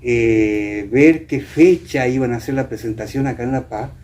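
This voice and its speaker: Hesitant older Argentine man, mellow voice. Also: medium pitch. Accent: Argentine, Entre Ríos. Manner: hesitant, pausing often. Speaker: interview guest. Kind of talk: reminiscing in conversation. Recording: clear background noise.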